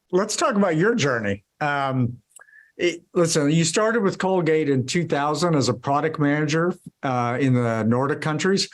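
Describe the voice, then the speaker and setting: A man interviewing a guest, asking long, winding questions filled with "you know" and "um". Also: fairly high pitch. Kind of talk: conversational interview.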